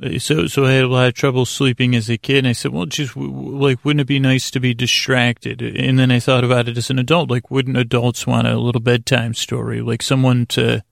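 A man hosting a bedtime podcast in a lulling, rambling monologue, halting and self-deprecating as he circles back on himself. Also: medium pitch, little pitch movement, vocal fry, no room sound, studio quality. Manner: lulling, soothing delivery. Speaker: podcast host.

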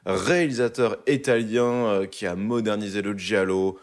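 A man's voice: fairly low pitch, moderate pace, vocal fry. Joking young Frenchman hosting a podcast. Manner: jokey, loose delivery with many fillers. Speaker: podcast co-host presenting a segment. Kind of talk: casual chat.

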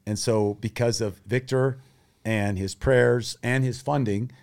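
A man's voice: medium pitch, steady tone, a little slow, a little mellow. A man who grew up in Thailand, a guest speaking with intense emotion about war experiences. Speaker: guest, humanitarian group founder. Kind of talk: conversational interview talk.

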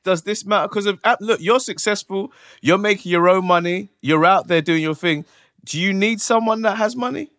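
A man's voice: high voice, moderate pace, a bit bright, dry recording, clean studio sound. A young man hosting a dating podcast, teasing his guest and laughing often. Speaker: podcast host. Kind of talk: casual chat.

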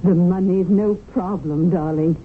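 An actress playing a greedy, manipulative temptress in a radio drama, coaxing and insinuating. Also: female voice, lowish voice, fairly even intonation, measured pace, natural voice. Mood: sultry coaxing. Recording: tinny, noisy vintage radio broadcast.

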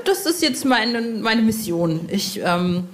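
A woman's voice: on the high side, sing-song, slow, a crowd in the background. A woman hosting a casual podcast interview in a playful, effusive and colloquial way. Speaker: podcast host.

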